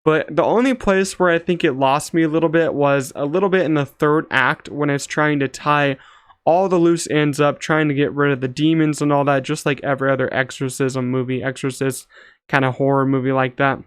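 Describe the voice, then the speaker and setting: A man's voice: fairly high pitch, fairly even intonation, a little fast, smooth, no echo, clean studio sound. Casual vlog reviewer, young man, clean voice with very little room sound, slangy and hedging. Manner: loose, hedging, slangy, frequent "like". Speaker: movie reviewer, channel host.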